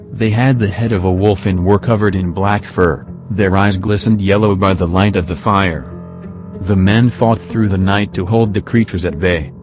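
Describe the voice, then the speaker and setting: A computerized male voice narrating a scripted legend in a measured, formal storytelling way. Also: fairly low pitch, a little mellow. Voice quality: computerized synthetic voice. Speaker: host and narrator.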